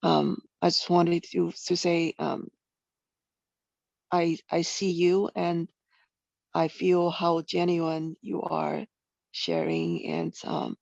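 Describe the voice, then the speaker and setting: A woman's voice: lowish voice, lively intonation, slow pace, vocal fry, long pauses, dry recording. Hesitant woman. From Taiwan. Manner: hesitant, many ums, soft-spoken. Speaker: newcomer meeting member. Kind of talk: gentle personal share. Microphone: video call. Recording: dull lo-fi dry call audio.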